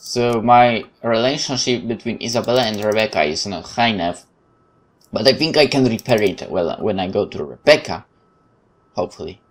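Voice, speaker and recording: A man with a Polish accent reading visual novel narration aloud as a game streamer, expressive but now and then stumbling. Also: fairly low pitch, normal speed.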